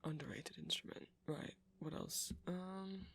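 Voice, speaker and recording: A man playing a creaky, breathy nerdy vampire. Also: fairly high pitch, fairly even intonation, unhurried, heavily acted. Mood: absorbed, musing. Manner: hesitant, rambling, self-deprecating, breaks into soft laughs. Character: nerdy, flustered young male vampire, rambling sweetly about music. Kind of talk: ASMR roleplay, soft-spoken casual rambling.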